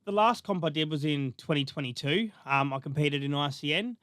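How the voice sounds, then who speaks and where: A bodybuilder, talking casually in an interview, laid-back with frequent fillers. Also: male voice, fairly high pitch, lively intonation, fairly quick, fairly bright tone, clean tone, no echo, clean studio sound. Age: 30s. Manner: laid-back, lots of fillers like "you know" and "man".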